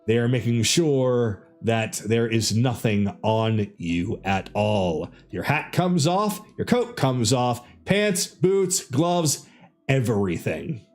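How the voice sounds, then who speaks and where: A man narrating a tabletop game as dungeon master, with deliberate dramatic pauses and emphasis. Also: mid-range voice, big pitch swings, darkish tone, soft background music.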